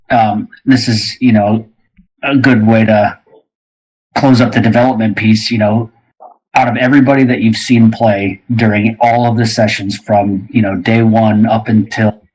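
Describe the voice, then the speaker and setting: A man hosting a casual panel chat, speaking in a halting, rambling way. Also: fairly low pitch, husky, poor audio. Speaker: host asking the panel questions.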